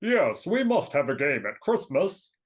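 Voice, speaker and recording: A woman playing a cheerful, genial young gentleman hosting a party in a live dramatic reading. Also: low-pitched, big pitch swings, a little slow. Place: remote video call at home. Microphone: video call microphone.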